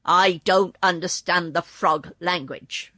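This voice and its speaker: A woman voicing a sullen, remorseful old male ferryman in a storybook reading, speaking low and slow. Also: fairly even intonation, sharp tone, no room sound, clean studio sound, full character voice. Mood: gruff.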